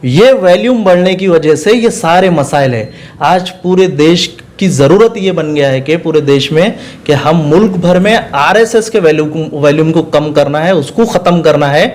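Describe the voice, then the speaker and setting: A man's voice: fairly high pitch, expressive, normal speed, darkish tone. A man giving earnest, emphatic political answers in an interview, repeating phrases for stress. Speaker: political party spokesman, interview guest.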